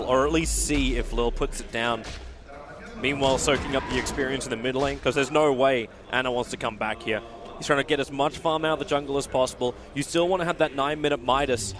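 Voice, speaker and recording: A man with an Australian accent giving excitable play-by-play commentary on an esports match as caster. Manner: excitable, rising pitch in big moments.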